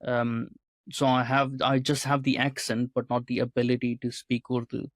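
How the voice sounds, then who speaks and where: A man's voice: mid-range voice, little pitch movement, moderate pace, dry recording, clean studio sound. A man being interviewed on a podcast, talking earnestly and often checking in with a questioning "right?". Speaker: podcast guest, writer and commentator.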